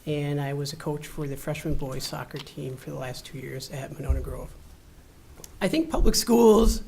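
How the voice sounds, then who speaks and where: A man who is a teacher, delivering a measured, prepared statement as a school board candidate. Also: on the high side.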